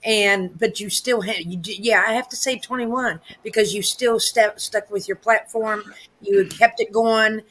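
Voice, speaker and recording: A woman on a comedy panel, talking quickly and correcting herself as she rambles. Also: medium pitch, fairly even intonation, fairly bright tone. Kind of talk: casual chat.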